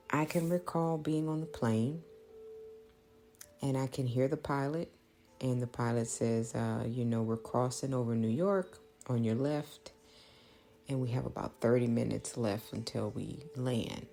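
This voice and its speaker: A woman, thinking out loud in a reflective, prayerful monologue, halting and earnest as she repeats phrases. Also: extremely low pitch, gravelly. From small-town Louisiana, United States. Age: late 30s. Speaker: podcast host.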